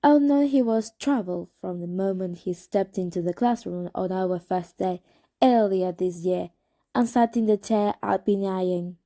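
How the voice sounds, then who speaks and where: Slow, mellow-voiced woman with Spanish accent. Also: medium pitch. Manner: unhurried, hesitant, self-correcting. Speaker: amateur reader of a novel. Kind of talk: casual reading aloud with chatty asides.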